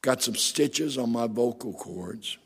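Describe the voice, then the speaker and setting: A middle-aged male pastor with a gravelly, raspy voice, preaching emphatically and asking the congregation rhetorical questions. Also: medium pitch, animated, slow, fairly bright tone. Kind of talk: sermon. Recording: long hall reverb.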